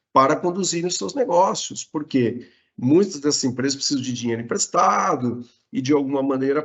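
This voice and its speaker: Brazilian guy hosting a video call q&a, muffled lo-fi sound, steady explainer. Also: fairly high pitch. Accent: Brazilian Portuguese. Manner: measured, explanatory, with frequent fillers. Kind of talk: explanatory talk in a virtual Q&A.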